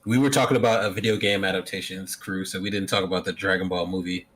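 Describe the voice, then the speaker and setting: A man co-hosting a casual podcast, blunt and opinionated, quick to laugh. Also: low-pitched, fairly even intonation, fairly bright tone, a little hiss or hum. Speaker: podcast co-host.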